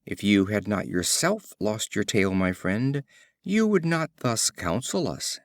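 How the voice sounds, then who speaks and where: A man's voice: fairly low pitch, expressive, a little slow, dry recording, studio quality. A man doing a wry, knowing fox. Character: shrewd, skeptical male fox.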